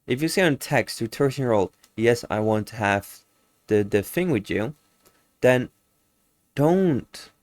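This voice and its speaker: A nineteen-year-old man talks slowly and unhurriedly, as if thinking out loud while he reacts. He sounds exasperated and stumbles, restarting his sentences mid-thought. The sound is dry and close, with no room echo. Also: mid-range voice, lively intonation. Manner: meandering, exasperated, trips over his words and corrects himself. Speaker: reaction video host. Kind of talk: casual reaction commentary.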